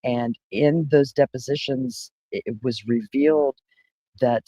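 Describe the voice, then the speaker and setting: A man co-hosting a casual discussion, explaining his thinking in a measured, methodical way. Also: medium pitch, dark tone, dry recording, low-quality recording.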